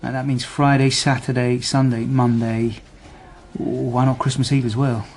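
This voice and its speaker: An actor as a terse, reserved detective, mid-pitched. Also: male voice, level tone, unhurried, darkish tone, slight background noise, natural voice. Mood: businesslike. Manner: understated, short clipped replies. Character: terse, reserved male senior police detective. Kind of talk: naturalistic radio drama acting.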